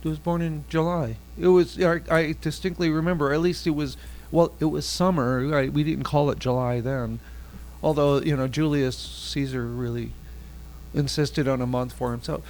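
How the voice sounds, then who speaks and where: A man playing a mild-mannered Jesus in a comedy radio bit, who speaks softly and hesitantly and stammers calmly. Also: a little high, close to an everyday voice, poor audio. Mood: gentle. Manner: soft, halting, hesitant and placid. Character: mild-mannered Jesus, gentle carpenter and would-be rocker.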